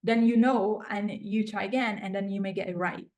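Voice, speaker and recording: Woman, Italian accent, medium pitch, language coach, encouraging, conversational interviewing. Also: steady tone, moderate pace, darkish tone. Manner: encouraging, affirming.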